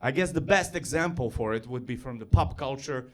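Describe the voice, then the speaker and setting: A man with a Serbo-Croatian accent doing a self-deprecating stand-up set on stage, building to yells. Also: medium pitch, a little fast. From former Yugoslavia. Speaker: stand-up comedian.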